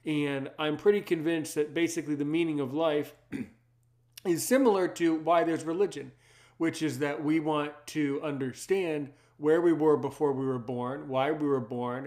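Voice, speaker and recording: Brief: man in his 30s, native French speaker, deep earnest voice, reflective casual chat. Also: darkish tone. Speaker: conversation partner.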